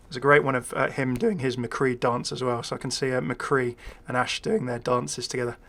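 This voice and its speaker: A man's voice: mid-range voice, steady tone, normal speed, a bit bright. A man hosting a gaming video with casual, unscripted commentary. He is chatty and hesitant, thinking aloud and dropping wry asides.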